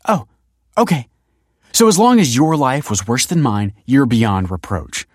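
Audiobook heroine read by a man: young, sharp-tongued, teasing, voice lifted higher, brisk and sardonic. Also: animated, no room sound, clean studio sound, close to an everyday voice. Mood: angry, cutting.

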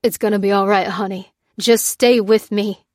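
A woman's voice: medium pitch, fairly even intonation, moderate pace, darkish tone, no echo, clean studio sound, natural voice. A woman voicing a distraught farmer husband in an audiobook, pleading in a trembling, choked voice. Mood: desperate, pleading.

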